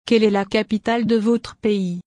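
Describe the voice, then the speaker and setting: A woman reading short French questions for a language lesson in a clear, scripted way. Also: mid-range voice, fairly even intonation, moderate pace, a little mellow, no echo. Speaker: French dialogue reader.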